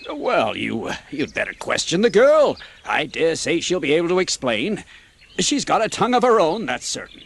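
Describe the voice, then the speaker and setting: A country station master talks in a creaky voice with very animated pitch. He is matter-of-fact and a little wry, as in a dramatised story. Faint noise sits behind him. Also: moderate pace. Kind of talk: audiobook dramatisation acting.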